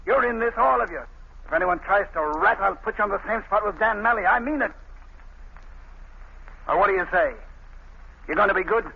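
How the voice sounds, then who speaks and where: An actor playing a menacing, scheming crime boss who gives orders and threats in 1940s radio style. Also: male voice, high voice, a little fast, creaky, pauses often, slightly rough, heavily acted. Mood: threatening. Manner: commanding, threatening. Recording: tinny, hissy old radio recording.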